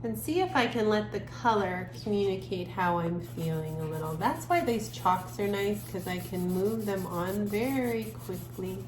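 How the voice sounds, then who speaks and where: A woman giving a casual art lesson for children, musing gently aloud as she draws. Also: medium pitch, lively intonation. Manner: gentle, musing, talks while drawing with trailing pauses. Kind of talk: casual creative drawing lesson, thinking aloud. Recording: echoey room with clear background noise.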